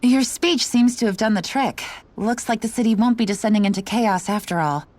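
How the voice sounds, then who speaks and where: A woman's voice: fairly high pitch, fairly quick, darkish tone, dry recording, lightly acted. An actress playing an earnest, outspoken and proper young noblewoman in a video game. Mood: subdued.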